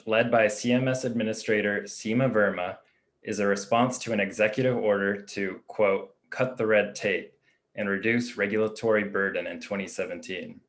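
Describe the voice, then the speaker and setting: Casting: male explainer, somewhat deep, scripted panel delivery.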